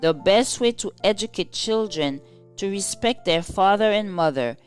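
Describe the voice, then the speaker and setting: Woman, devotional reader, slow pace, formal solemn delivery, scripted reading, faint music behind. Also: lowish voice, clean tone. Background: soft instrumental music.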